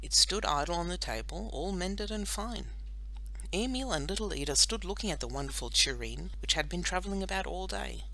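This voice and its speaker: Lady reading a kids story, bright voice, really animated and expressive. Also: fairly low pitch, fairly quick, poor audio. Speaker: audiobook narrator. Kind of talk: scripted storybook narration.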